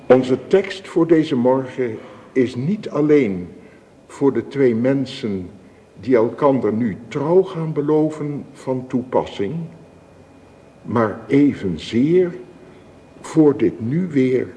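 A man playing a solemn village minister with formal, measured delivery in a Dutch radio drama. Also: mid-range voice, expressive, dark tone. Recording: reverberant church acoustic, faint hiss.

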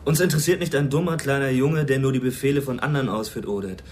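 Man as a fast-talking intelligence aide. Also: mid-range voice, slight background noise, lightly acted. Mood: insistent. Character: brisk, cool intelligence assistant explaining strategy. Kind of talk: German radio drama acting.

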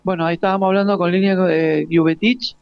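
A man's voice: sits high, steady tone, fast talker, vocal fry, clear, resonant tone. An Argentine man hosting an informal live radio interview in a hesitant, jokey way. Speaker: radio host. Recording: slightly dull, lo-fi live radio.

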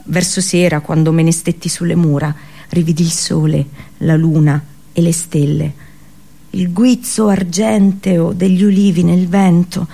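An actress playing an anguished, passionate captive princess and seer in an intimate, poetic radio monologue. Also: female voice, fairly low pitch, steady tone, a little slow, vocal fry, warm tone. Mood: tender, longing. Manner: intimate, poetic, intense delivery.

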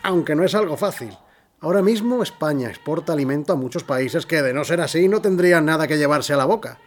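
A man from an audio drama playing a measured, evasive Spanish governor, speaking formally as if making a speech, with a Spanish accent from Spain. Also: fairly high pitch, animated. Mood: placating.

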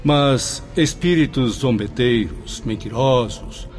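A man's voice: mid-range voice, takes it slowly, close to an everyday voice. An actor playing a self-important male professor in a radio drama, formal and full of questions. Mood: protesting. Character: vain, questioning male European professor, now a bewildered spirit. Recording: music behind the voice.

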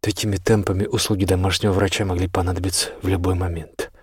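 A man's voice: deep voice, level tone, quick pace, slightly rough, no room sound, clean studio sound. A man reading scripted fiction as the narrator of an audiobook.

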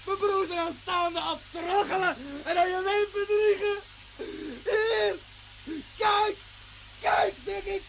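Bitter young farmer's son, very high strained pitch, agitated TV drama delivery, loud hiss. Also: male voice, flat delivery, slow pace, creaky, full character voice. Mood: bitter despair. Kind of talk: television drama acting. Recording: hissy, noisy old TV soundtrack.

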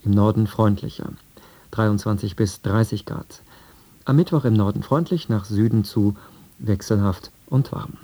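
A man reading a scripted radio news and weather bulletin in a sober, measured manner. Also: on the low side, warm tone. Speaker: radio newsreader.

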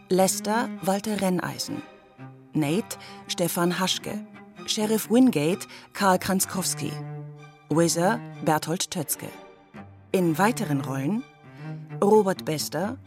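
Woman reading credits, slow, lowish voice, cello type string music behind. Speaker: announcer. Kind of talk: scripted credits announcement. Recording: music under the voice. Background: bowed string music.

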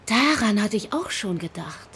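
A woman's voice: mid-range voice, very animated, moderate pace, fairly bright tone, slight background noise. An actress playing a cool, self-assured, flirtatious woman with a mocking manner in a German radio drama. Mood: teasing, probing. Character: cool, teasing seductive woman under police suspicion.

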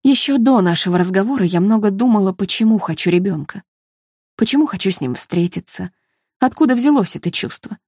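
A woman's voice: medium pitch, animated, fairly quick, darkish tone, slightly rough, no room sound, studio quality. A woman narrator voicing an earnest, troubled woman who speaks confessionally in a novel.

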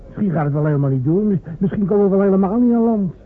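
A man's voice: high-pitched, expressive, rapid-fire, slight background noise. Male actor as a cynical, shrugging soldier; offhand and mocking; lo-fi, narrow radio sound. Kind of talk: radio play acting. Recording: narrow phone-like old radio recording.

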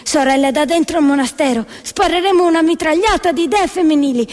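A woman voicing an excitable, rebellious puppet nun in satirical theatre, gushing and exclaiming with fervent indignation. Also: sits high, fast talker, in a large hall, very noisy, voice pushed up, lightly acted. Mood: fervent, defiant.

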